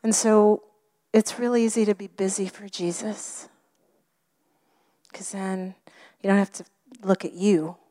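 A woman preaching a sermon with an expressive delivery that often drops to a whisper. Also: medium pitch, measured pace, pauses often.

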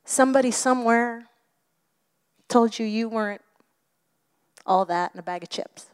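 A woman preaching a sermon with an expressive delivery that often drops to a whisper. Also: fairly high pitch, measured pace, clear, resonant tone.

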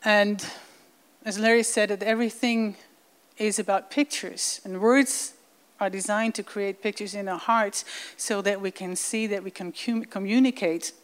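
A woman from the Netherlands, giving an earnest, enthusiastic presentation with slides to a congregation. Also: mid-range voice. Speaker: guest presenter.

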